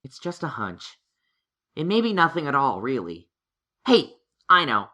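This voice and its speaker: A woman's voice: low-pitched, very animated, a little slow, sharp tone, heavily acted. A woman voicing a studious young male unicorn pony in a cartoon-style audio story, dry and pensive. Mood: pondering.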